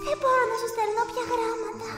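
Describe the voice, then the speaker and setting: Reverberant, woman playing a timid anime girl, tiny high voice, plaintive, music behind. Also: steady tone. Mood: sorrowful, pleading. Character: timid, sorrowful young adopted girl. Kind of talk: amateur anime dub acting.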